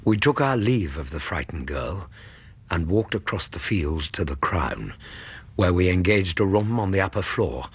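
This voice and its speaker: An actor playing a loyal, steady Victorian gentleman with an English accent and a measured, earnest manner, narrating and acting in a radio drama. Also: low voice, breathy edge, heavily acted.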